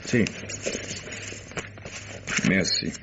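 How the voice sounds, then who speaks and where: An actor playing a wry, unflappable private detective in a French radio mystery, dry and sardonic in manner. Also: male voice, drawn-out words, pushed and bright. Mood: businesslike. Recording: dull, noisy vintage radio recording.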